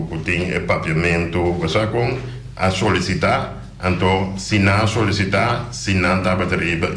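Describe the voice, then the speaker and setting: A very deep-voiced man talks with passion as a radio guest, pressing his points hard and repeating words for weight. The studio sounds roomy, with a faint hiss of noise underneath. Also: a bit bright. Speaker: island politician, guest. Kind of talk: radio interview, casual talk.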